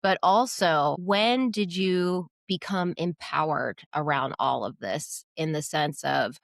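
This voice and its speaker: A woman's voice: low voice, takes it slowly, vocal fry, no echo, clean studio sound. A woman hosting a podcast interview, speaking in an eager, grateful way. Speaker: podcast host.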